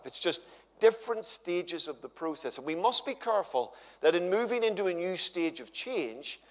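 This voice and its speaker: Guy in his 50s preaching on stage, bit high voice, big echoey church hall. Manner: chatty and humorous, lively storytelling. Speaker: guest conference speaker. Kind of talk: conference sermon to a live audience. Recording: long hall reverb.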